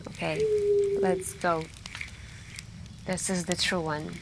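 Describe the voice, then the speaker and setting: A soft-spoken woman telling scary stories in an ASMR style, hushed and intimate with pauses and drifts into whispering. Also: on the low side, creaky. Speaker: ASMR roleplay performer playing a friend telling campfire stories. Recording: steady background ambience noise. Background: crackling campfire and night ambience.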